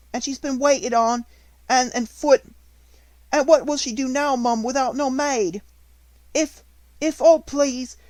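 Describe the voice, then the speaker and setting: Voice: sits high, dry recording, pitched above the performer's own voice, poor audio. A sobbing little scullery maid. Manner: sobbing, begging, rough ungrammatical speech. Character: poor young servant girl, tearful and pleading.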